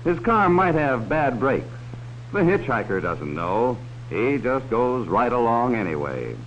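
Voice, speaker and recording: A man's voice: mid-range voice, big pitch swings, unhurried, a bit bright. A man playing a cautionary narrator of a 1950s educational film, talking directly to children in a folksy way. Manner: folksy, direct address to children with leading questions. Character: cautionary male adult narrator warning children about strangers. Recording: dull, hissy 1950s film soundtrack.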